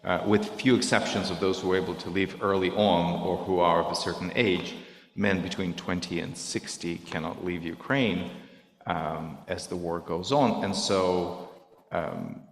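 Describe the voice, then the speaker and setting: A middle-aged man whose native language is Russian, speaking earnestly and haltingly to a congregation, searching for his words. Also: low voice, fairly even intonation, a little hiss or hum. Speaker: guest speaker at a service. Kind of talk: reflective talk to a congregation. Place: synagogue sanctuary. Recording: echoey hall, long reverb.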